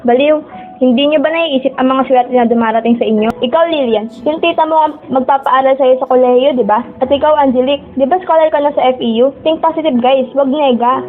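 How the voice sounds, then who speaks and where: A woman's voice: high-pitched, brisk, soft background music, natural voice. A young woman acting as an upbeat, chiding teenage schoolgirl in a radio drama. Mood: encouraging. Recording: tinny phone-like audio.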